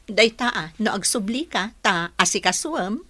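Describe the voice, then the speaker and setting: A woman's voice: medium pitch, lively intonation, measured pace, pushed and bright. An actress playing a frank, sympathetic woman confidante in a radio drama, matter-of-fact, with a Filipino accent. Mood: frank, concerned. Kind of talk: Ilocano radio drama acting.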